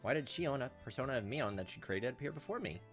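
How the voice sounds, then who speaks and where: Male gaming host, brisk delivery, reads game text with wry casual asides, music underneath. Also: medium pitch, lively intonation. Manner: jokey asides and reactions. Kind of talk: casual let's play, reading visual novel text aloud with offhand commentary. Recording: music behind the voice. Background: visual novel game music.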